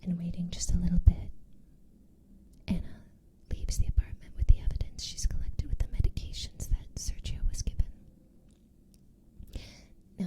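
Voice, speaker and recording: A woman's voice: medium pitch, dark tone. A woman whispering a casual recap of a TV series, with lots of "like" and "you know".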